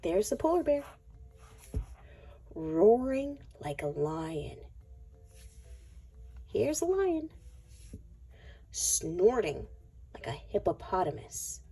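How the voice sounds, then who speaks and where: Very slow, soft dark-voiced woman reading aloud. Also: medium pitch, big pitch swings, husky. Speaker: children's book reader. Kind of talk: expressive picture-book read-aloud for young children.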